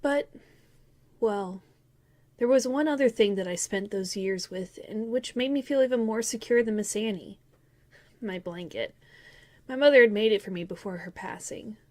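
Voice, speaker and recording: A woman's voice: medium pitch, moderate pace, long pauses, a little mellow, close to an everyday voice. A woman playing a grown-up wistfully recalling childhood, narrating a scripted first-person story in an earnest, reflective way.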